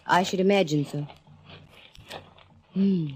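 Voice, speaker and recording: A woman's voice: fairly low pitch, steady tone, slow pace, a little mellow, breathy edge. Sassy wisecracking woman private eye. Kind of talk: 1940s radio acting. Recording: old radio broadcast with music.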